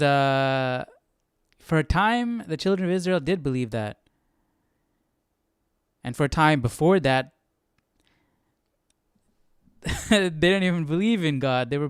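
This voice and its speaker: A man hosting a Bible study, talking casually and reflectively, often thinking out loud and posing questions. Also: fairly high pitch, smooth, dry recording, clean studio sound. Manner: musing aloud, questioning. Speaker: host of a live Bible study stream.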